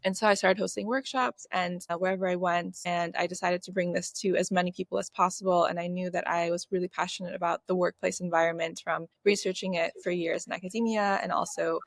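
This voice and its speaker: A woman's voice: on the low side, steady tone, quick pace, vocal fry, hardly any pauses, no room sound, studio quality. A woman in her thirties, a podcast guest talking in an earnest, thoughtful way with some hesitations. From United States (Chicago). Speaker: guest, workshop facilitator. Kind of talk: reflective podcast conversation.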